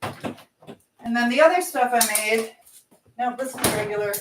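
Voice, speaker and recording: A woman's voice: a little high, unhurried. A woman hosting a casual live stream while she cooks, chatting informally to viewers and reading out their comments. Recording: clear background noise and clicks.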